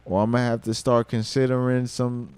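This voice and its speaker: A man in his thirties livestreaming, a rapper talking casually and swearing freely, mixing thoughtful musing with loud excitement. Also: mid-range voice, normal speed, clean tone, no room sound. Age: 30s. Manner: reflective, then loud and excited with laughter and swearing.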